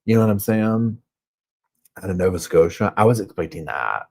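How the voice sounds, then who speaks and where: A man's voice: deep voice, expressive, moderate pace, creaky, a little mellow. A man with a Southern US accent hosting a casual true crime live chat from a hotel room, rambling and reading viewer comments aloud. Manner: rambling, chatty, heavy on filler words. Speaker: true crime channel host.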